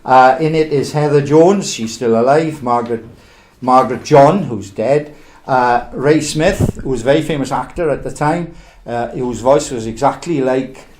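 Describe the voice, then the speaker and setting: A man's voice: medium pitch, normal speed, a little mellow, slight background noise. A man introduces a poem in a chatty way, then reads it in a raised, declaiming voice. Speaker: poetry reader at open mic. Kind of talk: introducing and reading a poem aloud.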